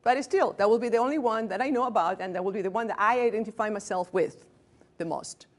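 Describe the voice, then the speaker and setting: A Venezuelan-accented woman in her late thirties gives a training talk to a small group in a room. She speaks candidly, pokes fun at herself and breaks off to restart words. Her voice sits at an ordinary middle pitch for a woman. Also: quick pace. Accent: Venezuelan Spanish. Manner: candid and self-deprecating, joking asides, restarts words. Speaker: physician giving a staff training. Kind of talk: lecture to a workplace group.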